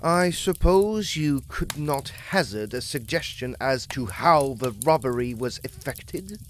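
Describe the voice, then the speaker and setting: An agitated gentleman Egyptologist, played by a man in a gothic audio drama. His mid-pitched voice leaps dramatically up and down as he stresses his formal, old-fashioned phrases. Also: animated, measured pace, slight background noise, natural voice. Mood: indignant.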